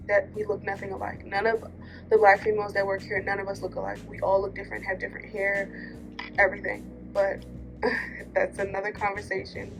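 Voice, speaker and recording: An American woman delivers a candid vlog monologue over clearly audible music. Her voice sits at an average pitch for a woman. She is frank about her grievances and stresses her points firmly. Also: low-quality recording. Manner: frank, emphatic, airing grievances. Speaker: vlogger, departing police dispatcher.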